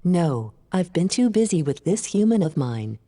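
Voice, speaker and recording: Woman acting a mellow, low-voiced female AI in heavy reverb. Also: sing-song, moderate pace. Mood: curious, unimpressed. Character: female artificial intelligence, curious, blunt, unimpressed by humans. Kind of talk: scripted audio drama dialogue.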